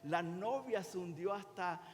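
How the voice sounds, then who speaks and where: A man in his sixties with a Venezuelan Spanish accent, preaching as a pastor in an informal, conversational way, often halting and repeating words. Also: high-pitched, lively intonation. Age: 60s. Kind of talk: sermon with anecdotes and prayer, conversational. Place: church hall during a service. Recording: reverberant hall.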